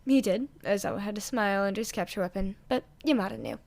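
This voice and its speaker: A woman narrating fan fiction as an amateur audiobook reader, in an expressive storytelling style. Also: mid-range voice, fast talker, vocal fry.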